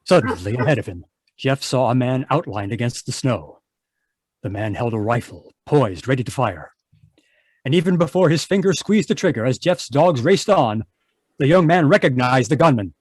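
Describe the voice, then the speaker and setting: A man's voice: mid-range voice, expressive, normal speed, slightly rough, no room sound. A man narrating an old-time radio adventure as a dramatic storyteller.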